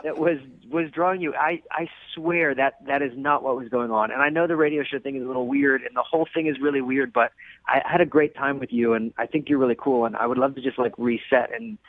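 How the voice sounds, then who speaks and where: A man calling in to a radio show by phone, casual and apologetic, rambling in a halting way. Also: mid-range voice, fairly even intonation, dry recording. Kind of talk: casual pleading conversation. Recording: thin, narrow-band telephone line.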